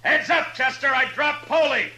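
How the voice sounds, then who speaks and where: A man's voice: very high voice, moderate pace, music in the background. A man playing a commanding Old West marshal in a radio western, calling out terse orders. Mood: commanding, urgent. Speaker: radio drama actor. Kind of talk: 1950s radio western acting. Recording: noisy, echoing old radio recording with clicks.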